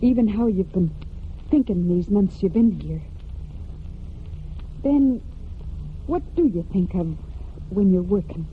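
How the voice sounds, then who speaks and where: A woman's voice: medium pitch, animated, slow pace, pauses often. Earnest farm woman, tinny old radio. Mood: probing. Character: earnest, quietly tender young farm household woman. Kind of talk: 1940s American radio drama acting. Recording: tinny, noisy 1940s radio broadcast.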